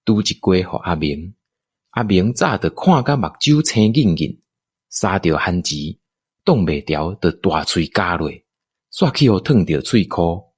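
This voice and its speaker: Taiwanese male narrator for radio drama: low pitch, very lively intonation, expressive storytelling, dry studio sound. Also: measured pace, slightly rough, dry recording.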